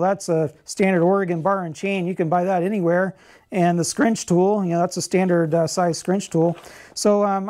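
Man explaining a repair in his workshop. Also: sits high. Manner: relaxed, explanatory, frequent "uh" and "um". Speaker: channel host. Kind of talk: how-to repair demonstration. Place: workshop garage, indoors.

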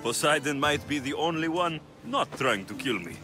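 A man's voice: on the high side, animated, normal speed, close to an everyday voice. A man playing a stoic, determined Greek mercenary in his thirties, terse and brooding, in a video game. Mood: guarded. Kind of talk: dramatic video game acting. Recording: music behind.

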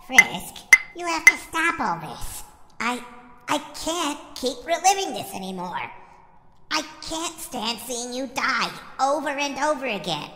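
A woman's voice: sits high, sing-song, unhurried, bright, forceful tone, slight background noise, exaggerated voice. A woman voicing a small talking flower, an anxious friend with a fierce streak, emotional and emphatic in a dramatic comic dub. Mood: distressed, pleading. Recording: echoey reverb, music behind.

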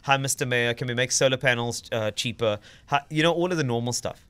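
A man in his thirties with a South African accent, hosting a radio show with animated, teasing banter from a studio mic. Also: mid-range voice, fast talker, clear, resonant tone. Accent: South African English. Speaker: radio host. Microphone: studio mic with headphones.

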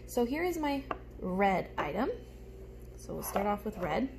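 A young woman speaks like a teacher to little children, in a mid-range voice. She explains each thing in simple, expressive phrases, show-and-tell style. It is recorded on a phone in a room at home, with some light background noise. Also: mid-range voice, unhurried, creaky. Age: young adult. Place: small room at home, indoors. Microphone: phone held close in front.

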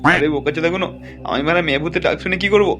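Man playing a rapid-fire blustering cartoon gang leader. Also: on the high side, a bit bright, soft background music, lightly acted. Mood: scolding, blustering. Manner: blustering, swearing, bossy. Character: foul-mouthed, boastful gang leader hiding his fear. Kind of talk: comic cartoon dub.

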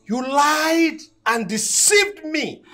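A man's voice: very high voice, expressive, drawn-out words, bright, forceful tone, full character voice. A man acting a middle-aged, stern husband and father who accuses with wounded, dramatic weight. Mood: wounded anger. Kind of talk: melodramatic screen acting.

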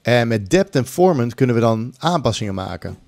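A man's voice: mid-range voice, sing-song, fairly quick, gravelly, a little mellow. A middle-aged man gives a casual, informal tutorial, slipping English phrases in, close to a condenser mic. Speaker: music production tutor. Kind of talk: casual screen-recorded tutorial. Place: small room at home. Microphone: large condenser mic on a boom arm, close up. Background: music loops.

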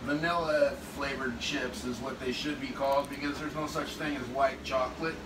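A man's voice: medium pitch, steady tone, normal speed. A middle-aged man walking through a recipe in his home kitchen, rambling a little as he explains each step. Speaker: home cook. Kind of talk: casual cooking demo. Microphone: phone camera across the room. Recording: echoey kitchen, distant phone mic, hum.

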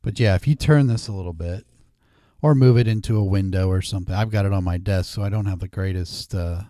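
A man hosting a casual screen-recorded software tutorial, explaining each step informally. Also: low-pitched, fairly quick, no echo, clean studio sound. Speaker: tutorial host. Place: indoors at a desk.

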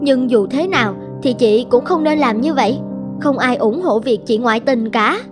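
A woman voicing a polite, observant younger sister-in-law in an animated drama, speaking in a measured way. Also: on the high side, music in the background, natural voice. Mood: disapproving. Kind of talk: Vietnamese animated drama dubbing.

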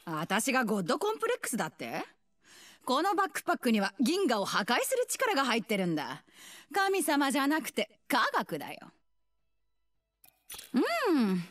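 A middle-aged scientist from a video game. Her voice sits fairly high and swings widely in pitch. She is motherly and teasing, with brassy, theatrical phrasing and a quietly ruthless edge. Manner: brassy older-woman phrasing, playful and theatrical. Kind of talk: Japanese game dub voice lines.

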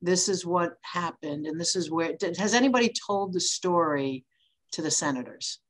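A woman in an online meeting, speaking haltingly as she thinks aloud. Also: on the low side. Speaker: meeting participant. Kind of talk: meeting discussion. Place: video conference meeting.

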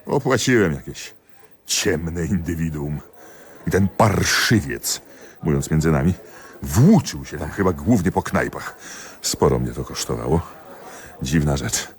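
Jealous husband, very low voice, slow halting delivery, rueful radio drama confession. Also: male voice, animated, full character voice. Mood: confiding. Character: jealous husband, examining magistrate, confiding ruefully to a friend. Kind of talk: theatrical radio drama monologue.

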